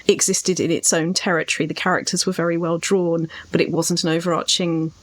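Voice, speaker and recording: A woman's voice: fairly low pitch, little pitch movement, brisk. Thoughtful, articulate British woman. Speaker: podcast co-host and writing coach. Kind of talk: reflective discussion of writing on a podcast.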